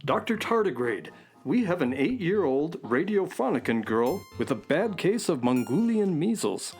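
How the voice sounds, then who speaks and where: A man's voice: fairly high pitch, sing-song, moderate pace, darkish tone, breathy edge, soft background music, heavily acted. A man playing an earnest, knowledgeable, polite space adventurer in a children's radio drama, speaking precisely and courteously. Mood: earnest, concerned. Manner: precise, courteous, well-spoken.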